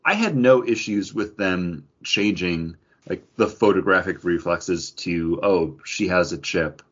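A man's voice: low-pitched, lively intonation, measured pace, husky, muffled recording. A man co-hosting a podcast, talking casually and with opinions about comic book movies. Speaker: podcast co-host.

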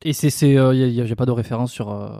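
A man in his thirties hosts the conversation with a soft, mellow tone. He stops and restarts often as he shapes his questions. The recording is dry and close. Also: medium pitch, no room sound. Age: 30s. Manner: halting, many restarts and fillers. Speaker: interview host. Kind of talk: casual interview chat.

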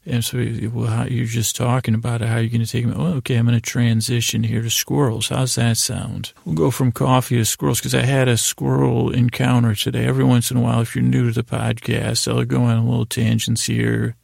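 A man's voice: medium pitch, flat delivery, a little fast, creaky, hardly any pauses, a little mellow, no room sound, clean studio sound. A middle-aged American man hosting a bedtime podcast, rambling hesitantly in soothing, lulling tones. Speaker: podcast host. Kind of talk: rambling bedtime monologue to help listeners sleep.

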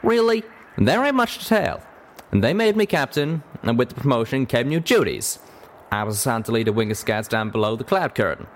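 A man's voice: mid-range voice, big pitch swings, fairly quick, voice pushed up. A man voicing a young, plain-spoken pegasus cowboy and bashful ex-captain with a folksy Southern or Western American drawl, in an audio story. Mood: reluctant, plain-spoken. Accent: American South or West drawl.

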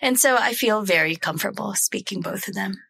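A woman's voice: medium pitch, brisk, gravelly, pushed and bright, husky, dry recording. A woman in her forties from the Philippines, a native Tagalog speaker, answering interview questions thoughtfully with many hesitations. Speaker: guest.

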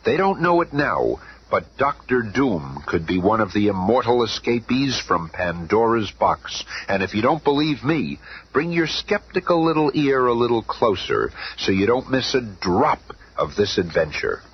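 A man's voice: lowish voice, very animated, a little slow, slightly rough, heavily acted. A man playing a theatrical, conspiratorial storyteller who narrates a superhero radio drama. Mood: conspiratorial suspense. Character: excitable, theatrical male comic-book storyteller narrator. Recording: dull, faintly noisy old radio recording.

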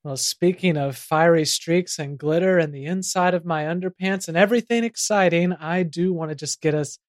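Excitable small-town mayor, played by a man, dry close sound. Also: a little high, moderate pace, no room sound, natural voice. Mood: excited, rambling. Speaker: podcast host. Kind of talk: comedy audio drama podcast hosting.

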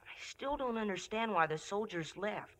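An actor playing an eager, watchful young outlaw's sidekick in 1950s radio drama. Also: male voice, sits high, animated, normal speed, a bit bright. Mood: eager, puzzled.

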